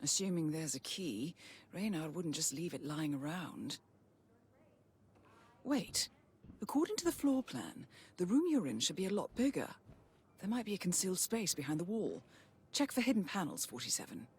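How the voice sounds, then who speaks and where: An actress playing a composed, calculating intelligence handler in a video game, measured and dryly wry as she guides an assassin. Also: lowish voice, very animated, darkish tone, slightly rough, full character voice. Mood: focused. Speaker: mission handler. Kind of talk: cinematic game dialogue.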